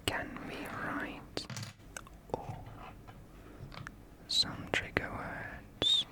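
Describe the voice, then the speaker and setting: A speaker from Finland with a Finnish accent, whispering an ASMR video softly and slowly, with small laughs. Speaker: ASMR creator.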